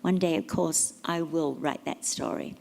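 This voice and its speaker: Low-voiced woman reading in a reverberant hall. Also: a little slow, vocal fry, noisy background. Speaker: reader of family tributes at a memorial service. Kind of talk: reading written tributes aloud. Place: memorial service venue, large room.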